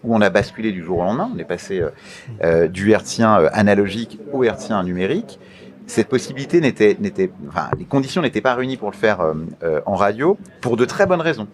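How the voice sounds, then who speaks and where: A man with a fairly low voice answers interview questions in a formal register. He speaks deliberately, often halting mid-sentence, then stresses his key phrases by repeating them. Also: expressive. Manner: deliberate, halting with many hesitations, emphatic repetition of key phrases. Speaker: interview guest, media regulator official.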